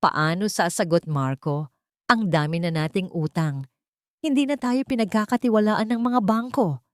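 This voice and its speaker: A woman's voice: medium pitch, expressive, moderate pace, dry recording, studio quality, natural voice. A woman voicing a domineering, anxious older mother in a story, scolding and commanding fretfully. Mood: near tears, angry.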